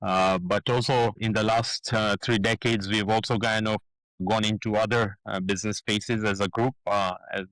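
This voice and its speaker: Low-voiced Kenyan man, halting. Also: fairly even intonation, a bit bright, no echo, rough recording. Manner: hesitant, many fillers and restarts. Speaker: guest, business founder. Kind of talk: casual interview talk.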